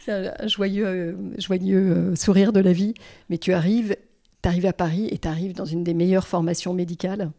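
A woman's voice: on the low side, steady tone, normal speed, mellow. A woman hosting an interview podcast, asking her guest admiring questions. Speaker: podcast host.

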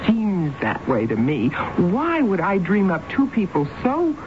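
A woman's voice: medium pitch, huge pitch swings, a little slow. Through a dull, noisy old radio recording comes a middle-aged housewife, troubled and stubborn. Her intonation swings widely as she defends herself in 1970s radio drama style, hesitant one moment and insistent the next. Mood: defensive, insistent.

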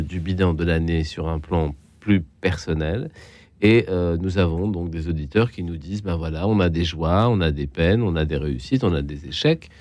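A man hosts a late-night call-in show from a dry, close studio. His voice is very low, and he listens patiently, offering soft affirmations and gentle prompts. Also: no room sound. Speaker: radio host. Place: radio studio.

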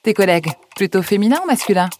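A woman interviewer asking short, brisk questions. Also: mid-range voice, lively intonation, darkish tone, soft background music.

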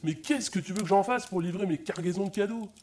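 An actor playing a grumpy, bumbling, old-fashioned Santa Claus who talks colloquially and hesitantly in a comedic audio drama. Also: male voice, high voice, quick pace, darkish tone, natural voice. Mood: grumbling, baffled. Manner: colloquial, hesitant grumbling, easily swayed. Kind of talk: comedic audio fiction acting.

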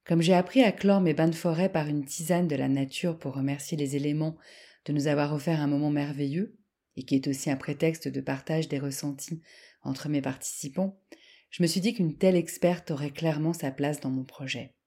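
A woman hosting a podcast, reading a scripted introduction in a deliberate, measured way. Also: low voice, steady tone, warm tone. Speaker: podcast host and narrator. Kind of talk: scripted narration.

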